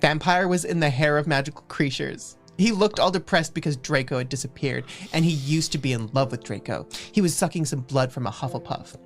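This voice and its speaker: A woman's voice: low-pitched, brisk, hardly any pauses, soft background music. A woman hosting a livestream, giving a comedic, theatrical reading of fan fiction and stumbling over the text.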